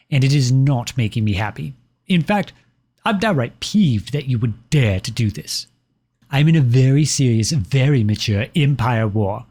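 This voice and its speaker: A man's voice: mid-range voice, darkish tone, slightly rough. A man playing a pompous, jilted personification of Britain in a comedic audio roleplay, reading formal letters with petulant indignation. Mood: stern, indignant.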